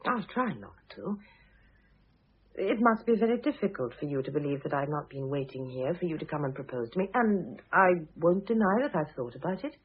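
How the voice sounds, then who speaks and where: Middle-aged former wife, radio play: dry, wounded but self-possessed, lively intonation, thin muffled vintage sound. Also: female voice, low-pitched, brisk, husky, heavily acted. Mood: calm, firm. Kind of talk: vintage radio drama acting. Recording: muffled, narrow-band old radio recording.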